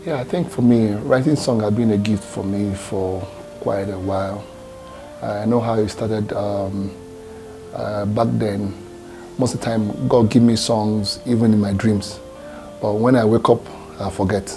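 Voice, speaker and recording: A man's voice: lowish voice, fairly even intonation, measured pace, over music. A Nigerian man, a gospel singer, answering interview questions in a reflective, hesitant way with many fillers and restarts.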